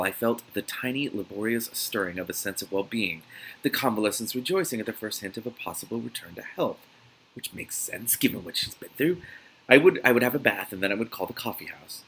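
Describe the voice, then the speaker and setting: A man reads fiction aloud in a dramatic, expressive way, breaking off for his own asides. His voice sits fairly low and has a husky grain, with a bright, forceful edge. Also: rough recording. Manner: dramatic, expressive reading with exclaimed interjections. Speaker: reader and host of a read-aloud reaction series. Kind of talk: reading a novel aloud with reaction asides.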